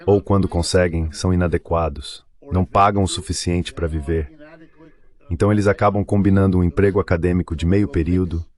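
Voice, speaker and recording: Low-voiced Brazilian man, translation voice-over. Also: a little mellow. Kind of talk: dubbed interview translation.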